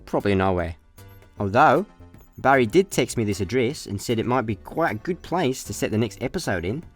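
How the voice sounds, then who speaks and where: A man with a Scottish accent acting a laid-back, deadpan space layabout in a comic radio play. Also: medium pitch, fairly quick, faint music behind, lightly acted. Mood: idle, wistful. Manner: deadpan, hesitant understatement. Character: laid-back, careless male space layabout, drily unbothered.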